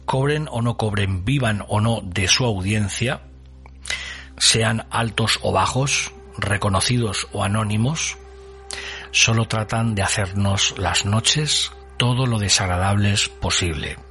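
A man presenting a podcast as host, narrating a scripted introduction with measured, colloquial phrasing and dramatic pauses. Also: on the low side, fairly bright tone, soft background music.

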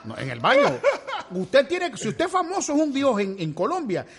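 A man's voice: very high voice, wildly swooping pitch, moderate pace, slight background noise. A man hosting a podcast in Miami, interviewing a guest with joking, teasing banter. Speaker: podcast host. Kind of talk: casual interview chat.

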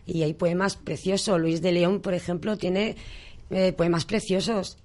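A woman, a poet guest on a radio show, speaking in a slow, emphatic, conversational way. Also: lowish voice, steady tone, darkish tone. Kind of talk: casual radio interview.

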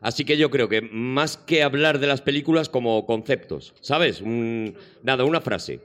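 A man's voice: mid-range voice, lively intonation, normal speed, fairly bright tone. A man hosting a casual comedy panel chat on stage, with a playful, bantering manner. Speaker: show host. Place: live stage event space.

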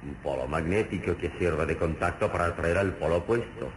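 An actor playing a calm, all-knowing male hero in a vintage radio serial, solemn and deliberate. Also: low voice, natural voice. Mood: solemn, confident. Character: calm, all-knowing heroic male adventurer, mentor to a boy. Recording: noisy old radio serial recording.

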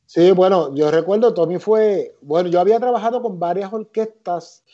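A middle-aged man with a Puerto Rican accent, talking through his career at an easy, reflective pace. His voice sits a bit high but sounds mellow and dark. The recording is a little dull and lo-fi. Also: normal speed. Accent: Puerto Rican Spanish. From Puerto Rico. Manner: relaxed, reflective, many fillers. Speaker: musician and arranger, guest. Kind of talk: interview answers, storytelling.

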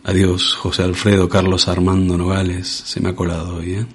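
A man hosting a comedy sketch, speaking in a low, dry, patient deadpan. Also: brisk, slightly rough. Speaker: sketch host.

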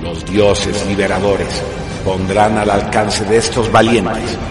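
Male narrator, lower register, solemn and epic radio drama delivery, clear music bed underneath. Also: flat delivery, slow. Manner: grandiose, dramatic emphasis. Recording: music behind the voice.

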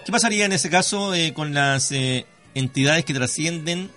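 A man's voice: on the high side, normal speed, pushed and bright. A man with a Chilean accent hosting a conversational radio interview, speaking formally and courteously with some hesitation. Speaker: radio host.

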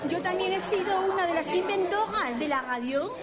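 A woman's voice: very high voice, lively intonation, moderate pace, over a noisy crowd. A woman playing a proud, indignant scientist in a comic radio drama. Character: proud, indignant female scientist at a card table.

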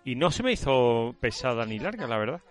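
A man's voice: mid-range voice, sing-song, a little fast, faint music behind. A man in a casual film discussion, talking emphatically and repeating words to make a point.

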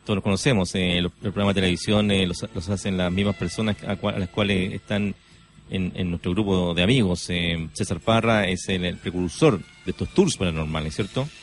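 A Chilean man co-hosting a radio interview in a conversational, halting way with many pauses. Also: sits low, steady tone. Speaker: radio co-host interviewing a guest. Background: background music.

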